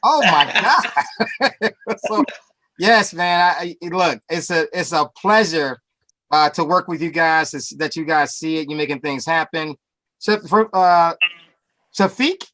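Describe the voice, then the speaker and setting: A man's voice: on the high side, unhurried, bright, forceful tone, dry recording. A man hosting a webinar over a video call, upbeat and hypey, repeating his phrases. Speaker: call host.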